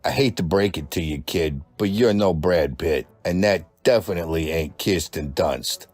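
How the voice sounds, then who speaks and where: A man voicing a gruff, sardonic cartoon monster dad. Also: sits low, normal speed, darkish tone, no room sound, faint music behind. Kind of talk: animated cartoon acting.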